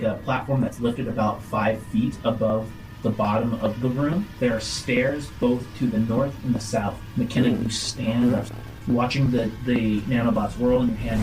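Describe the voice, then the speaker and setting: A man narrating a tabletop role-playing game as game master, describing scenes in a halting, casual way. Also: mid-range voice, poor audio. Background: background music.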